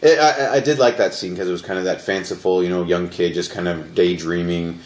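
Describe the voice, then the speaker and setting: A man's voice: low voice, fairly quick. A man in his forties from Canada, co-hosting a movie podcast, laughing often as he tells stories. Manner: laughs often, animated storytelling. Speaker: podcast co-host. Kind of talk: casual movie chat. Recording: roomy echo.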